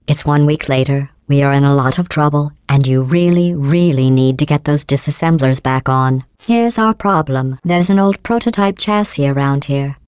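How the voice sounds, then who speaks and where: A cold female machine intelligence, voiced by a woman, purrs out mock-polite insults in a low, smooth register. Every line lands dry and close, with no room around it, as she talks down to her test subjects in flat, passive-aggressive calm. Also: no room sound, natural voice. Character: cold, sarcastic female AI running a test facility. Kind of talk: video game character dialogue.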